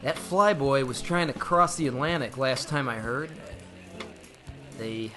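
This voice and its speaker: A man's voice: mid-range voice, a little slow, natural voice. An actor playing a courteous but insinuating businessman in an old time radio drama. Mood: needling. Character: polite, probing businessman customer of a bootlegger, adult man. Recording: music bed behind the dialogue.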